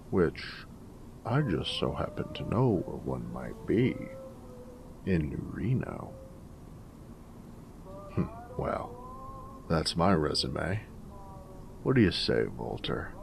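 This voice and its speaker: A very deep, gravelly ghoul played by a man drawls at an unhurried pace. He is a snarky wasteland drifter and former bush pilot, wry and slangy, in a game-style audio roleplay with clear noise behind him. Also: very animated, exaggerated voice. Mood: persuasive, swaggering. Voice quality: gravelly, low rasp. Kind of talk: character roleplay audio monologue in a post-apocalyptic game setting.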